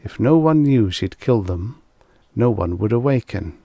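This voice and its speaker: A man's voice: fairly low pitch, animated, normal speed, vocal fry, warm tone, no echo. A man reading a vintage science fiction short story as scripted audiobook narration.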